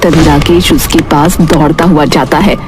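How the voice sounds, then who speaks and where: A woman's voice: low voice, steady tone, normal speed. A woman giving scripted, dramatic narration of a story. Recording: prominent background music. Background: music.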